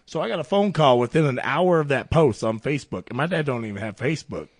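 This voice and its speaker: A young man co-hosting a podcast, telling laid-back stories in casual banter and acting out quotes in a deeper voice. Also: expressive, fast talker, no room sound, clean studio sound. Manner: laid-back storyteller, acts out quotes in a deep voice. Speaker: podcast co-host.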